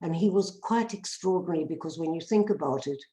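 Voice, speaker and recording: An older South African woman speaks with a low, mellow voice, earnest and unhurried, often pausing on small fillers. She tells her own story to a listening group. The sound carries an echoey room around her. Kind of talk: personal testimony talk.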